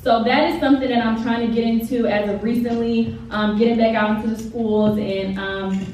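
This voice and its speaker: A woman's voice: a little high, steady tone, a little fast, a little mellow. A woman influencer and mother giving an earnest speech at a party table, filling gaps with "um" and "like". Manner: earnest, repeats "like" and "um". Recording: distant mic in a very echoey room with faint noise.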